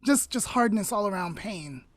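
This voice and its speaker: A woman hosting a casual podcast conversation, thoughtful and halting as she searches for words. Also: medium pitch, animated. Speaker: podcast host.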